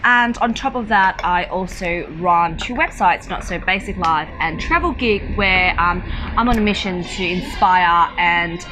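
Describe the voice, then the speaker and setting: A woman's voice: fairly low pitch, measured pace, music in the background, poor audio. A woman from Malaysia speaking casually as an interview guest, explaining her work and giving advice. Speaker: interview guest, digital marketer.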